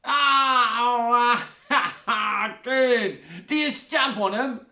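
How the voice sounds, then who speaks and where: Shrill brassy stepmom done by a guy, loud and coarse, way up high, roomy echo. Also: distant mic, full character voice. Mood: gushing. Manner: shrill, brash, drawn-out oohs. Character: loud coarse spiteful middle-aged stepmother.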